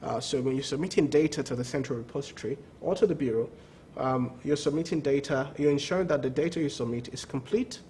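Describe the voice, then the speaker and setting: A man's voice: mid-range voice, quick pace, hardly any pauses, a little mellow, a little hiss or hum. A man speaking as a credit bureau executive in a TV business interview, emphatic, repeating words and often asking "okay?". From not from the country of the show.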